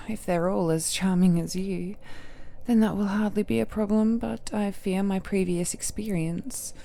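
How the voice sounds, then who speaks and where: A woman's voice: medium pitch, creaky, dark tone. A woman playing an ancient cursed deity, a possessive villainess who is tender yet menacing, speaking intimately and often dropping into whispers in an audio roleplay.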